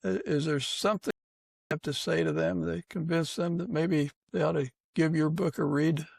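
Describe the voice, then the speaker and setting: A man hosting a casual interview podcast, speaking haltingly and pausing mid-sentence as he works toward his questions. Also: on the high side, steady tone, gravelly, a little mellow, breathy edge, no echo, clean studio sound. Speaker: podcast host.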